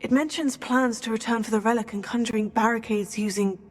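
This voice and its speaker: A woman playing a curious, principled teenage witch schoolgirl in a video game, measured and polite. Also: fairly high pitch, fairly even intonation, a little hiss or hum, natural voice. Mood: focused, inquisitive.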